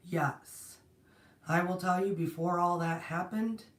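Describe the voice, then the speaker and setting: Lady hosting a spiritual live stream, voice kinda worn out, slow and rambly, far off the mic in an echoey room. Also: low voice. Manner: rambling, warm greetings to viewers, many restarts. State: strained, partly lost voice. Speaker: host of a spiritual platform. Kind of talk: casual livestream talk. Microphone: distant mic, no proper setup.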